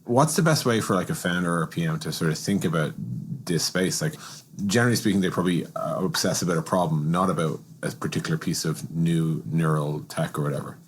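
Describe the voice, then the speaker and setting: A man with an extremely low, husky voice hosts a conversational interview. He talks at a rapid clip, reasoning aloud and giving his own take as he frames questions. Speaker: podcast host.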